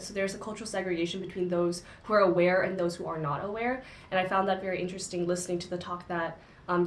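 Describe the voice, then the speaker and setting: Chatty young woman in echoey room. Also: fairly low pitch. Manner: chatty, many fillers. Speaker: co-host of a book discussion channel. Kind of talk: casual book discussion.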